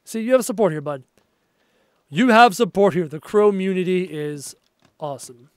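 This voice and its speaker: A man with a high voice chats casually through a live game stream, talking loosely and getting excited over viewer messages. His voice is wearing out and his energy is flagging. The sound is dry and close, at a home desk. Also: normal speed, clean tone, dry recording. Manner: chatty, reads out viewer messages, easily excited. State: losing his voice, low on energy.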